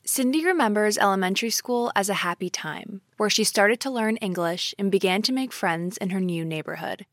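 A woman reporter reading a scripted narration in a calm, even storytelling manner. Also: medium pitch, moderate pace, few pauses, dry recording, studio quality.

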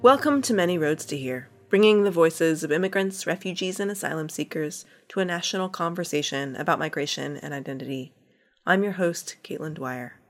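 A woman hosting a podcast, reading a measured, scripted introduction. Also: sits low, soft background music. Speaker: podcast host.

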